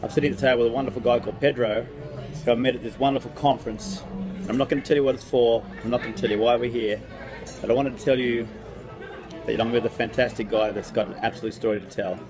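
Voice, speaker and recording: A man's voice: mid-range voice, steady tone, fast, music in the background. A man hosting a motivational interview, talking with energy and often restarting his phrases. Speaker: interviewer and host. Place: outdoors at a conference venue.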